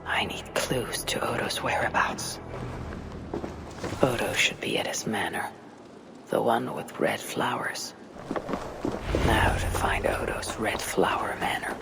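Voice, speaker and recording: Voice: medium pitch, slow, vocal fry, long pauses. Whispering, stealthy Viking warrior. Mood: focused, secretive. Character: stealthy, focused Viking warrior thinking aloud. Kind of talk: hushed video game inner monologue.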